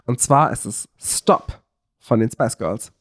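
A man's voice: medium pitch, expressive, slow pace, no room sound, clean studio sound. A man co-hosting a casual podcast, explaining things in a searching way and often correcting himself. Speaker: podcast co-host.

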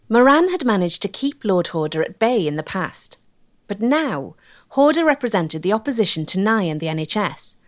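Woman narrating a documentary. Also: mid-range voice.

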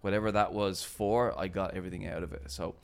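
A man hosting a casual podcast chat, upbeat and quick to laugh. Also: deep voice, steady tone, creaky, smooth. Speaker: podcast host and coach.

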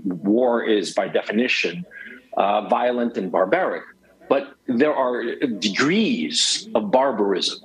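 A man's voice: medium pitch, poor audio. A man in his forties from Chile, a guest talking emphatically on a cell phone, rambling with many restarts. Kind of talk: phone interview.

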